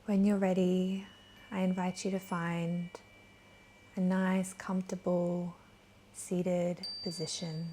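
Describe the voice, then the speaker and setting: A woman leading a guided meditation in a gentle, soothing, soft voice that is breathy at times. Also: lowish voice, flat delivery, slight background noise. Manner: gentle, soothing, unhurried with long pauses. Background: soft chimes.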